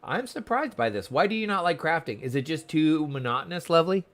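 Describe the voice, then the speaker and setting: A man's voice: fairly high pitch, expressive, fairly quick, fairly bright tone. A man chatting casually on a livestream, commenting on the game he plays and talking to his viewers. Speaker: livestreamer playing an online game.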